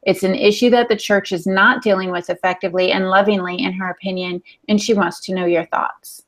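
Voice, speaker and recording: Female podcast co-host, mid-pitched, relaying questions from listeners in conversation. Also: steady tone, a little fast.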